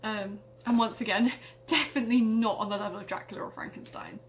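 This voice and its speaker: A woman's voice: on the high side, steady tone, brisk, a little hiss or hum. A woman reading her own parody tale aloud with a theatrical storytelling delivery. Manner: theatrical, exaggerated delivery when narrating.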